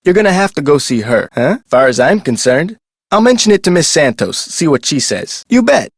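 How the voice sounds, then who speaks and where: Video game park guard, adult male: eager, folksy talker, slightly high-pitched, very lively inflection. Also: a little fast, slightly rough, dry recording, clean studio sound, full character voice. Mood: easygoing, helpful. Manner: folksy, colloquial, animated delivery. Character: chatty, eager amusement park security guard, adult man. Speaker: security guard character. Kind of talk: scripted video game character dialogue.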